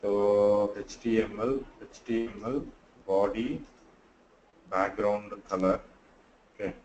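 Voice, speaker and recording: A sleepy man teaches a live class over a video call, pausing often and thinking aloud as he works. His voice is mellow and dark, and the audio sounds dull and roomy, with a faint hiss underneath. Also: fairly low pitch, fairly even intonation. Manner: halting, thinks aloud with many fillers. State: sleepy and tired. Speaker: online class instructor. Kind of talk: live screen-share tutorial.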